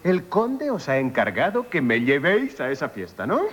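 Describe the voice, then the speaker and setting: Proud, theatrical gentleman, animated Castilian voice. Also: on the high side, normal speed, husky, heavily acted. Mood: sly, commanding. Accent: Castilian Spanish. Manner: theatrical, imperious, stammering when flustered. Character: rich, proud misogynist gentleman, flustered by love. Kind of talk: Spanish radio theatre acting.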